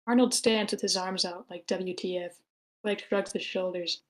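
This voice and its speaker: Woman narrating screenplay action, mid-pitched. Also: fairly even intonation, normal speed. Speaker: narrator reading stage directions.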